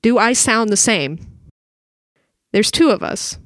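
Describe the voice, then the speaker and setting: A woman's voice: on the high side, expressive, slow, no echo, clean studio sound. An actress playing a frightened young wife who narrates her dreams in an old radio drama, earnest and anxious. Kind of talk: 1950s radio drama acting.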